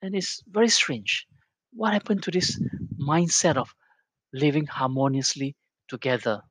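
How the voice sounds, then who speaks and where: A man's voice: fairly high pitch, expressive, unhurried, fairly bright tone, slightly rough, no echo, rough recording. A man in his fifties from Malaysia, giving an earnest and reflective personal monologue with rising emphasis.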